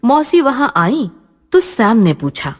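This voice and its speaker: A woman reads a scripted horror tale as its narrator. Her delivery is unhurried and dramatic, with lively intonation and music playing underneath. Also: fairly high pitch.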